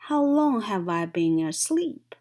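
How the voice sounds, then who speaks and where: Woman voicing a grumbling, sleepy toad, lively pitch. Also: takes it slowly. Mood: weary, stubborn. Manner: grumbling, protesting. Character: sleepy, grumpy, reluctant male toad. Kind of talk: children's storybook read-aloud.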